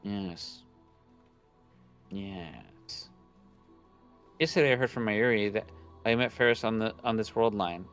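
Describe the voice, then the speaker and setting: Low-voiced young man reading a game aloud. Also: slow, long pauses, clear, resonant tone. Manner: stumbles over words while reading, teasing. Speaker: streamer reading a visual novel aloud. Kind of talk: casual read-aloud narration with chat. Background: soft background game music.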